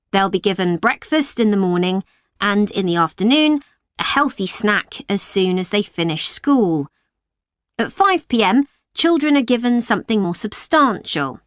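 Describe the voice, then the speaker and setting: A woman speaking as a childcare manager, giving a polite, clear talk to parents. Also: mid-range voice, no echo, studio quality. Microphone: studio microphone, dry.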